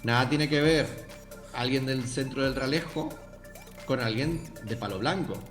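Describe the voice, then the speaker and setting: Young Canarian male author, mid-pitched, thoughtful interview guest, roomy sound. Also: a little slow, soft background music. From Canary Islands, Tenerife. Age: young adult. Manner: thoughtful, addresses listeners directly. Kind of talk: reflective interview answers.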